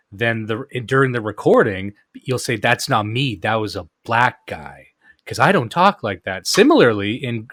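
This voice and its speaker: A man hosts a podcast with a voice of ordinary pitch. The sound is dry and close, and he keeps up a steady run of dry jokes. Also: no room sound. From Canada. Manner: joking, dry humor. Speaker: podcast host. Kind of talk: casual podcast conversation.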